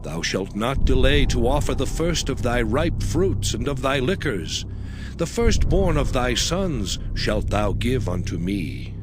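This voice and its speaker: Man voicing a solemn God, slow and commanding. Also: medium pitch, sing-song. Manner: solemn, declamatory, archaic diction. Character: God, solemn commanding male deity laying down law. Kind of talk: dramatized scripture reading. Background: background music.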